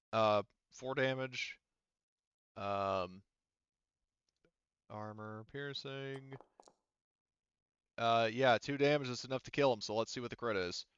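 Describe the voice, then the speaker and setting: A man's voice: fairly low pitch, a bit bright, smooth, dry recording. A man speaking casually and profanely as game master of a tabletop role-playing game, in slightly muffled audio.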